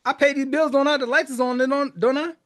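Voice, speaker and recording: A man's voice: extremely high pitch, steady tone, quick pace, fairly bright tone. A man in his forties, born in Haiti and raised in the United States, talking casually and reflectively as a podcast host, laughing often. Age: 40s. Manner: laughs often, loose and conversational, many restarts and fillers. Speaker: music reaction podcast host.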